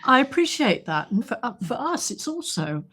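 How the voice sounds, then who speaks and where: A woman co-hosting an interview as a vocal teacher trainer, affirming and warm, often answering with "mm". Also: on the high side, very animated, moderate pace, breathy edge. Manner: warm, affirming, many "mm" replies. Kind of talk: casual interview chat.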